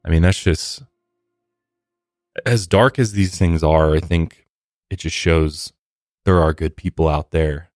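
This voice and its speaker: This is a man with an extremely low, bassy voice and a little room echo cut right out. He narrates true crime like a podcast host talking across the table, earnest and sympathetic, over faint music. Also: steady tone, takes it slowly, pauses often, darkish tone, clean studio sound.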